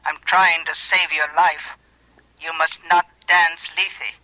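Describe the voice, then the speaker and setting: Ominous anonymous man, highish, very sharp, telephone-filtered, vintage radio drama. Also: level tone, slow, exaggerated voice, poor audio. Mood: ominous, insistent. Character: mysterious male telephone caller, insistent and ominous. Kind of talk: old-time radio drama acting.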